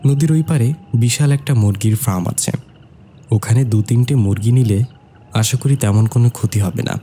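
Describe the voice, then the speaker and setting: A man playing a bold, mischievous young man who narrates and acts in an animated horror tale. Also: medium pitch, brisk, slight background noise, natural voice. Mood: suspenseful. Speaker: narrator and character. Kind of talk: scripted horror cartoon narration and dialogue.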